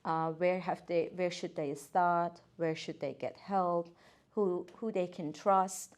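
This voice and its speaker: A woman's voice: on the low side, steady tone, slow, darkish tone. A woman, a guest occupational therapist talking earnestly, with hesitant fillers and restarts. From Iran. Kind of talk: casual podcast interview.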